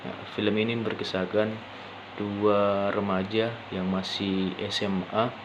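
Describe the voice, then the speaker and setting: A young man speaks in a slightly low voice at a very slow pace. He presents informally like a student, often halting to rephrase. The recording is lo-fi and dull, with clear noise behind him. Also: level tone. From Indonesia. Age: young adult. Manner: halting, with frequent pauses and rephrasing. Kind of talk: informal spoken presentation for a class assignment.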